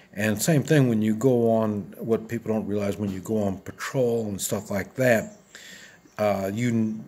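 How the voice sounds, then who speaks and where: A man in his seventies talking casually and plainly to viewers as he shows gear, halting and rambling, often repeating himself. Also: on the low side, slightly rough. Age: 70s. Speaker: video host.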